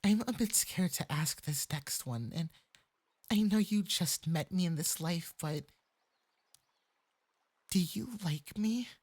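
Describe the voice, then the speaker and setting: A performer with a light, breathy voice playing a clingy, lovestruck fox-spirit femboy mage in an intimate ASMR roleplay, coaxing sweetly and possessively. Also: medium pitch, slow pace, long pauses. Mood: sweetly probing. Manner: sweetly coaxing, possessive, swinging into eager excitement.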